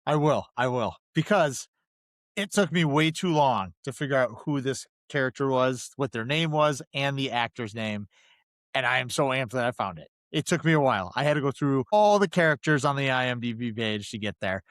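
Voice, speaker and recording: A man's voice: medium pitch, animated, moderate pace, fairly bright tone, no room sound. A man co-hosting a casual film discussion podcast, talking emphatically and repeating phrases for stress. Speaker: podcast co-host.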